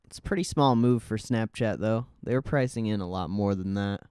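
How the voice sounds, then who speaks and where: A man's voice: lowish voice, normal speed, darkish tone, smooth, no echo, studio quality. A man hosting a livestream, giving casual market commentary in informal, slangy, sweary speech. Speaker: livestream host.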